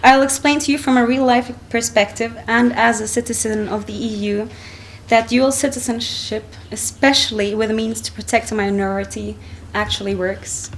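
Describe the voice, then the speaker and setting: A woman's voice: a little high, steady tone, a little hiss or hum. Young woman giving a conference talk at a lectern. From Finland. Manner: measured, reading with frequent ums and restarts. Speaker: conference speaker. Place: wood-panelled conference hall, indoors. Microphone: lectern microphone.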